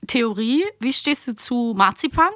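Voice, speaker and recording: A woman's voice: fairly high pitch, animated, unhurried, pushed and bright, no echo, studio quality. A woman chatting casually as a podcast host, telling childhood anecdotes with teasing asides.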